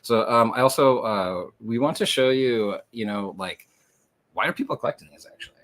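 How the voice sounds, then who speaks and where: A man hosting a livestream, explaining things casually in a meandering way with lots of hesitant filler pauses. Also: mid-range voice, fairly bright tone. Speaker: livestream host and reseller.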